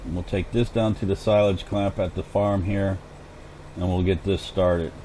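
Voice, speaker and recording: A man talks through a farming game session in a relaxed, chatty way, hoarse and clearing his throat now and then. His voice sits low and mellow, with a faint hiss under the recording. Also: normal speed, low-quality recording. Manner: chatty, thinks aloud while playing. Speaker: gaming channel host. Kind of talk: casual gameplay commentary.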